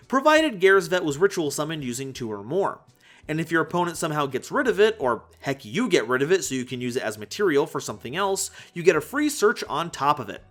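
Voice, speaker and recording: A man presenting scripted card game commentary in an animated, playful way, with conversational asides. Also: on the high side. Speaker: host of a card game analysis channel.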